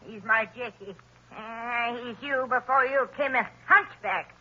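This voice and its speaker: A woman's voice: a little high, measured pace, bright, forceful tone, exaggerated voice. An actress playing a crazed, fiercely motherly old hill woman in a 1930s radio drama. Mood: pleading, deranged. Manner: rambling, pleading. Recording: muffled 1930s radio broadcast recording.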